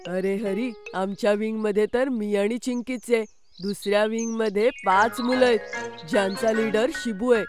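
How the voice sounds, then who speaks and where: A woman's voice: fairly high pitch, fairly even intonation, normal speed, natural voice. A woman voicing a clever, eager young boy who makes plans in a children's cartoon story. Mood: eager, concerned. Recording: music playing behind the voice.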